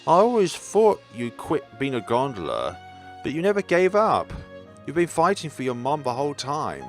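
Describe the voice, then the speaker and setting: A man's voice: medium pitch, huge pitch swings, moderate pace, soft background music, voice pushed up. A man voicing a caring, earnest, tomboyish teenage girl in a visual novel, eager and concerned. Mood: happy relief.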